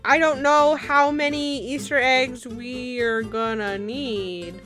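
A woman voicing a bossy, excitable, scatterbrained young wizard student in an improvised game roleplay. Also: high voice, slow pace, soft background music. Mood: thinking aloud.

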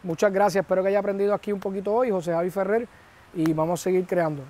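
A man's voice: high voice, steady tone, dark tone, slight background noise. A man in his thirties giving direct, coaching advice to camera outdoors on a lapel mic. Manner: direct, coaching, speaks fast in bursts. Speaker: filmmaking teacher. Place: outdoors by palm trees.

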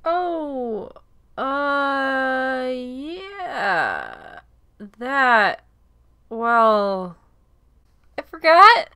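Woman as absent-minded teen girl, high bright voice, animated, anime audio drama. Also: very slow, long pauses, clean tone, exaggerated voice. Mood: sheepish. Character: absent-minded, sheepish teenage girl student. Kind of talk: amateur anime-style drama acting.

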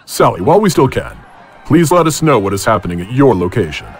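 A man's voice: medium pitch, very animated, fast talker, a faint crowd, close to an everyday voice. A man acting as an urgent newscaster anchoring a broadcast in a radio drama. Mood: grave, hurried.

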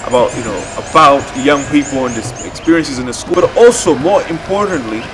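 A man, explaining a project in a documentary interview outdoors, with deliberate, halting phrasing. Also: medium pitch, lively intonation, music in the background, poor audio. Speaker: theatre project leader. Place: outdoors in a leafy courtyard.

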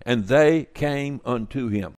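A man's voice: mid-range voice, slow, darkish tone, no echo, studio quality. An older man giving earnest, informal Bible teaching, gesturing as he talks in a kitchen under renovation.

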